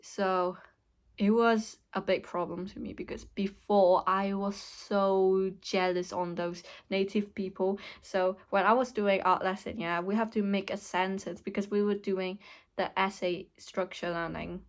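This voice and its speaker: A woman's voice: mid-range voice, flat delivery, a little slow. Young woman with a Hong Kong accent. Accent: Hong Kong English. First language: Cantonese. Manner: candid, hesitant, many restarts. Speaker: vlogger. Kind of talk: casual personal vlog. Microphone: weak mic, words hard to catch.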